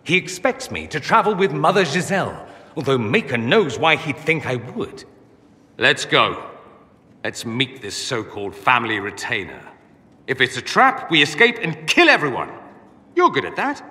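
A man's voice: mid-range voice, big pitch swings, normal speed, sharp tone, big-room sound. An actor playing a witty, vain, wounded nobleman mage in a fantasy game, articulate and theatrical. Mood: scornful, wry. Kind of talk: dramatic fantasy game dialogue.

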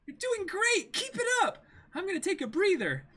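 A frightened young thief girl from a comic, acted out by a man. She whines and pleads in a high, bright voice that swings up and down theatrically. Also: wildly swooping pitch, moderate pace, heavily acted. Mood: breezy. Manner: whiny, pleading, sing-song. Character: scared, whining young female thief in a manga.

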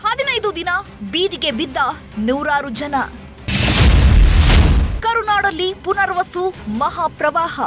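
A woman's voice: very high voice, animated, takes it slowly, pauses often. A woman narrating scripted news in an emphatic, dramatic way. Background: music bed.

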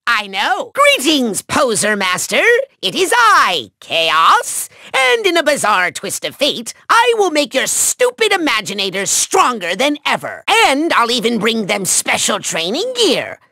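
Manic little megalomaniac game villain, nasal and gravelly, sharp, grandiose, all over the place. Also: male voice, very high-pitched, wildly swooping pitch, no room sound, heavily acted. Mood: gloating, smug. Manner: grandiose, theatrical, drawn-out declaiming. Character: small male evil overlord, pompous, manic, gloating megalomaniac. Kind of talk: video game villain voice acting.

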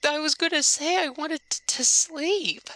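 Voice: sits high, a little slow, pushed and bright, no room sound, exaggerated voice. An actor voicing a shy, anxious, bookish teenage boy from the 1950s in a comic dub, hesitant and stammering. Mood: nervous.

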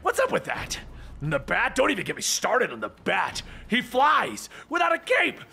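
Manic, shrill, gleeful clown villain. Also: male voice, huge pitch swings, normal speed, vocal fry, sharp tone, husky, heavily acted. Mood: manic ranting.

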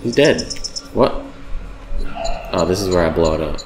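A man's voice: deep voice, lively intonation, normal speed, noisy background. A young man giving casual, slangy commentary while playing a video game. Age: young adult. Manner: informal, slangy. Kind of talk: casual gameplay reaction commentary. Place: indoor recording setup. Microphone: tabletop microphone in front of the two players. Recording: roomy sound with game music behind. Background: horror game music and sounds.

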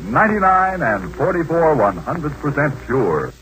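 A man reading sponsor messages as a 1940s radio announcer, brisk and lively. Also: fairly high pitch. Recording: hissy old radio.

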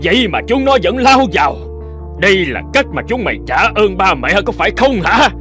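A man acting as a stubborn middle-aged village patriarch in an animated drama. His fairly high voice swings widely in pitch as he commands and scolds, with music underneath. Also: brisk. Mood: angry. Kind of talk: animated cartoon drama dialogue. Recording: music behind the voice.

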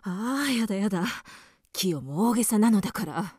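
A woman's voice: medium pitch, lively intonation, moderate pace, darkish tone, breathy edge, no echo, clean studio sound. An actress playing an anxious, prim wife and mother with a scolding, proper way of speaking in a radio drama. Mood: uneasy, grumbling. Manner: scolding, proper feminine diction.

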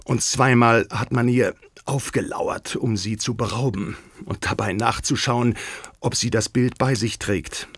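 A man's voice: fairly low pitch, natural voice. An actor playing a proud, formal and secretive king with stately diction in a German radio play. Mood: troubled.

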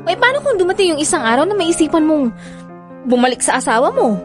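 A woman's voice: high voice, very animated, fast talker, over music. A woman playing a devoted, determined mother in a radio drama, coaxing and pleading expressively. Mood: hurt, frustrated. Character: devoted, determined young mother and frustrated mistress.

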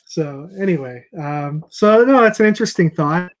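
Rambling bearded comic shop host. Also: male voice, fairly high pitch, expressive, moderate pace. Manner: rambling, thinks aloud with many ums. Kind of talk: casual video call chat. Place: small room with shelves of comics behind. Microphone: earbud headset on a video call.

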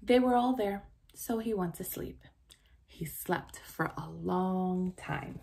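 A young woman reading a children's storybook aloud with expressive, deliberate storytelling. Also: on the low side, gravelly. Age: young adult. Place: small room at home, indoors.

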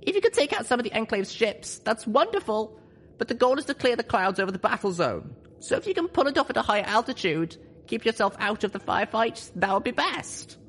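Earnest, high-pitched young pony mare. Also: very animated, fairly quick, fairly bright tone, slight background noise. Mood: solemn, commanding. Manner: solemn, urgent speech-making. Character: earnest young female pony leader, pleading, determined.

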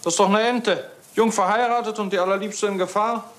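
An actor playing a cynical, blunt police inspector in a German radio crime drama, with a sardonic manner. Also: male voice, high voice, fairly even intonation, a little fast, a bit bright. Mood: sardonic, irritated.

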